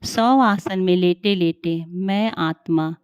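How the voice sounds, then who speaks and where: A woman leading a guided meditation in a calm, soothing, unhurried way with long pauses. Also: on the low side, clean tone, no room sound, studio quality.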